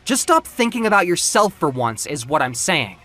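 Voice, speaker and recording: A man playing an angry, domineering former friend in an audio comic drama, scolding and forceful. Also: fairly high pitch, very animated, moderate pace, soft background music, pitched above the performer's own voice, full character voice.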